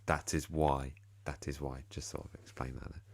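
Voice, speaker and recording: A man's voice: rumbling low, flat delivery, normal speed, no echo, clean studio sound. A tired man hosting a casual football chat, rambling and self-deprecating. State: tired, slightly deeper voice than usual. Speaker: podcast host.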